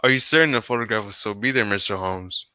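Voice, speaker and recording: A man's voice: on the low side, fast, bright, forceful tone, clear, resonant tone. An actor playing an anxious, impatient king in old-style radio drama, with formal, dramatic speech. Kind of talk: 1940s radio drama acting.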